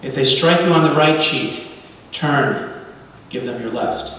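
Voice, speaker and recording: Casting: middle-aged man from Cuba, native Spanish speaker, earnest deliberate public speaker, heard from afar in a very reverberant hall. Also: mid-range voice, a bit bright. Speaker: guest speaker leading a congregational service. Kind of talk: sermon-like public address with readings. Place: large reverberant indoor hall, speaking to a congregation. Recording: very echoey hall, distant mic, light noise.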